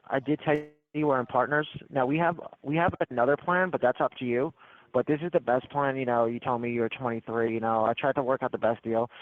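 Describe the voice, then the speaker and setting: A man's mid-pitched voice comes through a thin, tinny phone line. He runs a smooth, persistent sales script and keeps circling back to the same selling points. The talk is steady and practiced. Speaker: phone tech support salesman.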